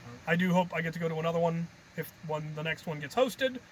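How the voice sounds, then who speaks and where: A man's voice: on the high side, expressive, quick pace, a little hiss or hum, poor audio. A man telling stories in a casual, rambling vlog style, thinking aloud with a jokey, self-deprecating manner. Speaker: hobby video creator and host.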